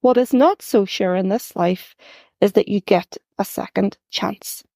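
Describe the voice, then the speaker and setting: A woman reading her own first-person story aloud at a measured pace, gently expressive, lifting her voice for lines of dialogue. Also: mid-range voice, creaky, no echo, studio quality.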